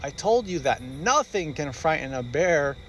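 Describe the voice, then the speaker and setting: A blustering storybook daddy bear, boastful yet cowardly underneath, as a man acts him out. His delivery is theatrical and swoops widely in pitch, sitting a little high. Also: sing-song, measured pace, a bit bright, slight background noise, rough recording. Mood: boastful, reassuring.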